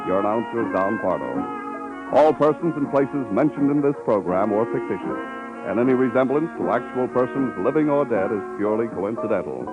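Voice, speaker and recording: Loud brass and timpani music plays behind a male American announcer. He reads scripted credits in formal 1950s radio style. The recording is old and dull. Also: mid-range voice, lively intonation, moderate pace. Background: loud brass and timpani theme music.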